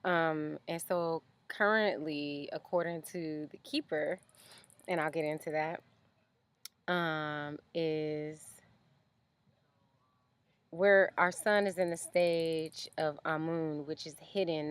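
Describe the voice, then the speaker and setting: A woman's voice: low-pitched, steady tone, takes it slowly, clear, resonant tone. A young woman vlogging outdoors at night, explaining things in a loose, chatty way full of ums. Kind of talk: casual explanatory vlog.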